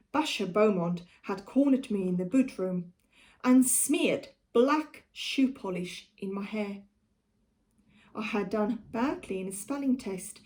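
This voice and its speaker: A South African woman reading a storybook aloud for children like a schoolteacher, with deliberate, expressive delivery. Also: mid-range voice. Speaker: primary school teacher.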